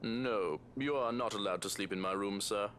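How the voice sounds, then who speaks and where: An actor playing a composed household butler in a game, formal, polite and quietly firm. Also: male voice, lowish voice, normal speed, slight background noise, close to an everyday voice. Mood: polite defiance.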